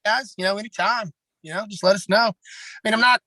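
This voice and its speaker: A man with a raspy voice, a pro wrestler talking bluntly and at length in a casual podcast chat, heavy on fillers. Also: high-pitched, fast talker, pushed and bright, no echo, poor audio.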